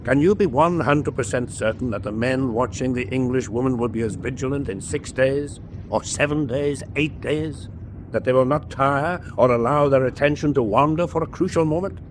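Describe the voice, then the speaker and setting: An actor playing a coolly persuasive, ruthless adviser aboard a U-boat in a radio drama, formal and insinuating. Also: male voice, mid-range voice, normal speed, background noise, close to an everyday voice.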